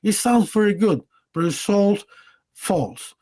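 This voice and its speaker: A man's voice: high-pitched, lively intonation, slow, a little mellow. A man from Cuba, giving a slide presentation as a director of education. He speaks earnestly, pressing hard on single words, and stumbles into fillers and restarts when reading out details.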